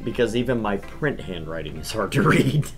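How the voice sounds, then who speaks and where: A man's voice: on the low side, lively intonation, normal speed. Informal male streamer over music. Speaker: host of a gaming stream. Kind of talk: casual chat and visual novel read-aloud.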